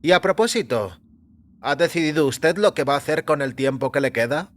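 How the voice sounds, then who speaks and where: A talkative, genial doctor, acted by a man in an audio story. The voice rides high and animated, breezy and matter-of-fact, with music underneath. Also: fairly quick, natural voice. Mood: brisk, genial. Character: chatty, jovial doctor, curious and matter-of-fact. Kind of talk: audio fiction character acting. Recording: music behind the voice.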